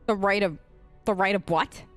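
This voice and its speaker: A woman's voice: mid-range voice, normal speed, fairly bright tone, soft background music. A woman doing casual, improvised role-play commentary as host of a game let's play, informal and outspoken.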